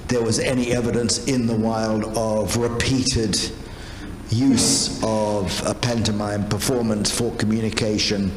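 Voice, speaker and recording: A man's voice: fairly low pitch, little pitch movement. Echoey man posing academic questions. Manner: measured, deliberate, formal phrasing. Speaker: academic asking questions after a talk. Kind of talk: academic discussion, long considered questions. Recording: echoey hall with noise.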